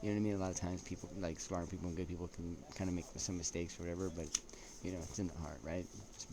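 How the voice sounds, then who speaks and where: A man with a low voice chats as a vlog host. His delivery is laid-back and halting, drifting between casual asides and read-out lines, with frequent little check-ins. Clear ambient noise runs behind him. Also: fairly even intonation. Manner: laid-back, halting, often checking in with "right?" and "you know". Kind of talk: rambling casual chat with read-out quotes. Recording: clearly noisy recording with ambient sound.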